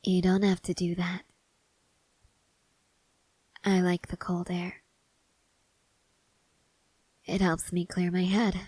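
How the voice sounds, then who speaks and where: A woman playing a stoic, devoted young woman soldier in an intimate ASMR roleplay, speaking softly and hesitantly, often half-whispering. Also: lowish voice, monotone, slow pace, long pauses, husky, no echo. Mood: tender, quiet. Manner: hushed, hesitant, gently reserved.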